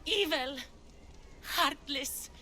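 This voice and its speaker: A woman's voice: high-pitched, drawn-out words, sharp tone, exaggerated voice. A woman playing a frightened, desperate young woman, pleading fervently in a video game cutscene.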